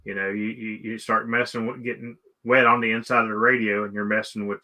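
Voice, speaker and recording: A man hosting a casual interview, speaking haltingly and often repeating his words as he goes. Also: fairly low pitch, flat delivery, sharp tone, poor audio. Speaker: live stream host interviewing a guest.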